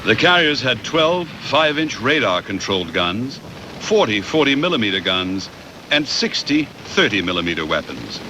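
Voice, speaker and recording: Male documentary narrator with lively intonation, noisy backing. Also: fairly low pitch. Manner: measured, factual delivery.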